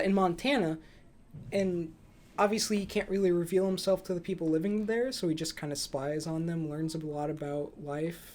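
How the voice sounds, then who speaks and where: A man's voice: fairly high pitch, a little mellow. A young man hosting a casual movie review podcast, chatting with dry, flippant humour. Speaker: podcast host.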